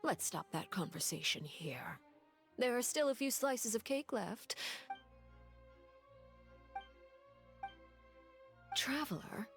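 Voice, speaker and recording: A woman's voice: on the high side, big pitch swings, unhurried, gravelly, a bit bright, heavily acted. A woman playing a composed, shrewd questioner in a video game, formal and pointedly courteous. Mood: gracious. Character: composed, shrewd, coolly polite female interrogator. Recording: music under voice.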